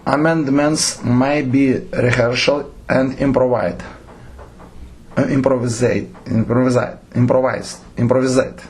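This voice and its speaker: A man with a Lithuanian accent and Lithuanian as his native language, giving a lecture on theatre directing. He reads out numbered points haltingly and keeps correcting himself. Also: medium pitch, fairly even intonation, darkish tone, a little hiss or hum, low-quality recording. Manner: halting, frequent self-corrections and restarts.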